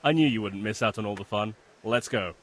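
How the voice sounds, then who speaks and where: A man's voice: lowish voice, fairly quick, heavy background noise, natural voice. A man playing a mischievous young ringleader, sly and casually coaxing, in a video game cutscene. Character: cocky young troublemaker, mischievous ringleader among friends.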